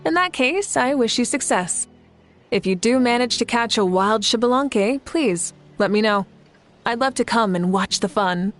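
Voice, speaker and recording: A woman's voice: a little high, expressive, moderate pace, soft background music, lightly acted. An actress playing a poised young woman in a video game, wry and composed with a teasing edge. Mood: wry amusement.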